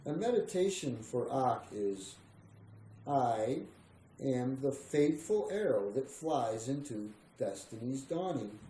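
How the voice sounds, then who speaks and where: Male reader for spiritual text, slow and meditative delivery, roomy sound with slight background noise. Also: mid-range voice, expressive, mellow. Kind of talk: slow meditative scripted reading.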